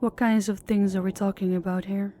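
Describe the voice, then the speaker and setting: A woman's voice: mid-range voice, level tone, brisk, mellow, slight background noise, natural voice. A woman playing a sadistic female crime boss in an audio roleplay, speaking in a formal, controlled and menacing way. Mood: cool, businesslike.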